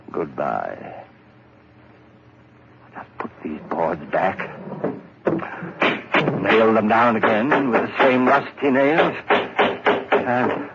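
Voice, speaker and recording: Jumpy murderer who stammers, 1940s radio play, muffled with hiss. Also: male voice, on the low side, full character voice. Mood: brooding. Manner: jittery, stammering, rising to panic. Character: nervous guilt-ridden young male murderer. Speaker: radio drama lead. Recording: dull old radio recording with hiss.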